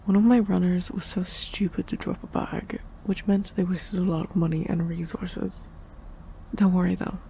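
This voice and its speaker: A woman's voice: on the low side, flat delivery, moderate pace, creaky, mellow, slight background noise. A woman playing a tough, cool-headed gang department head in an audio roleplay, speaking matter-of-factly. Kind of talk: scripted audio roleplay acting.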